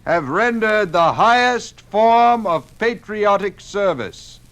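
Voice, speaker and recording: Male oratorical leader, 1950s radio drama, forceful tone, measured and declamatory. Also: sits high, lively intonation, heavily acted. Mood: solemn tribute. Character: commanding public leaders giving formal, oratorical addresses. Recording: vintage 1950s radio recording.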